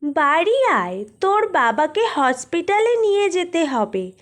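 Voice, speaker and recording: A woman's voice: extremely high pitch, big pitch swings, slow, full character voice. A woman playing a worried mother who pleads urgently with her son in a story. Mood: worried, pleading.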